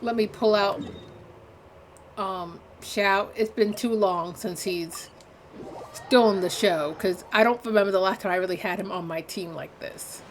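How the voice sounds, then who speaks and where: A woman's voice: medium pitch, unhurried, slight background noise. A woman livestreamer giving casual gameplay commentary in a dry, offhand, rambling way.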